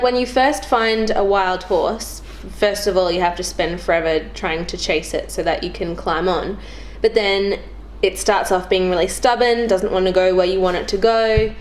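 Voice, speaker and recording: A young woman chatting casually about video games as a guest on a show. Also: lowish voice. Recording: clearly noisy background.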